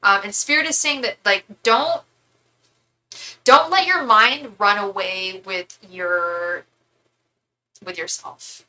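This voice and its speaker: Brief: woman, thirties, tarot reader live-streaming, talky and digressive, sharp bright tone. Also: mid-range voice, takes it slowly, pauses often, poor audio. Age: 30s. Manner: chatty, rambling, many asides and restarts. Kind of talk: live tarot reading for viewers.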